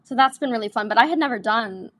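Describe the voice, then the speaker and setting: A woman's voice: on the high side, lively intonation, fast, a bit bright. Earnest young woman stage actor. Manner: earnest and enthusiastic, with frequent restarts. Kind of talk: casual interview chat.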